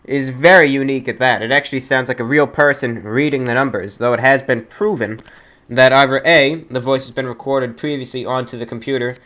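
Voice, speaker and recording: A man's voice: mid-range voice, steady tone, brisk, sharp tone, low-quality recording. A man hosting an informal radio-style show, speaking like an announcer with emphatic delivery. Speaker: show host.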